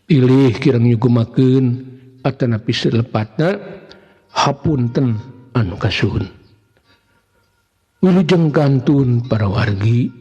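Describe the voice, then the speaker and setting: A man's voice: medium pitch, takes it slowly, pauses often, mellow, husky. A man with a Sundanese accent narrating a folktale as a storyteller, in a dramatic style. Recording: muffled, long reverb, faint music behind.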